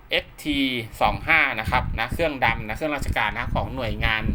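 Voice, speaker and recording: A man talks viewers through steps in a casual, conversational, polite way, often repeating himself. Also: medium pitch. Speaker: host of radio equipment tutorial. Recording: clearly noisy home-made recording with clicks.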